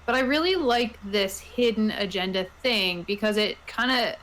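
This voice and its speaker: A woman's voice: medium pitch, moderate pace, fairly bright tone, poor audio. A woman talking casually as a player at a tabletop roleplaying game. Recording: faint noise on home microphone.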